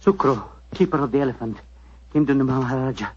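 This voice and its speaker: An actor playing a solemn Indian court physician with a formal, measured delivery, in 1940s radio style. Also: male voice, mid-range voice, breathy edge, natural voice. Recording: dull vintage radio broadcast recording.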